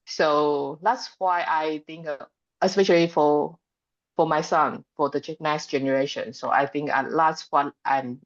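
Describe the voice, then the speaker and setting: Female guest, Taiwanese accent, low and slow, earnest halting phrasing, non-native speaker. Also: steady tone, lo-fi sound. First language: Mandarin Chinese. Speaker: guest, facilitator. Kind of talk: personal interview answer.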